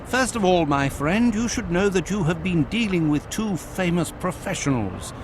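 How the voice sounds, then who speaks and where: An excitable, proper Victorian gentleman with a fairly high, swooping voice for a video game. He is formal and quick to indignation. Clear noise runs behind him. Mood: indignant. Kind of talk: video game dramatic acting.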